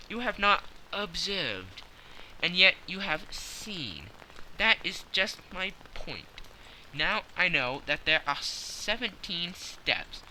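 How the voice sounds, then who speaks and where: A man voicing a brisk, sharp-minded Victorian detective who lectures with crisp self-assurance in an audiobook. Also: on the high side, a little hiss or hum, voice pushed up, exaggerated voice, poor audio. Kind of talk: audiobook character reading.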